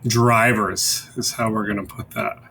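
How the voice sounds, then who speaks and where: A man's voice: fairly low pitch, fairly even intonation, a little slow, gravelly, sharp tone, slight background noise. A man hosting a discussion podcast in a conversational style. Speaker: podcast host.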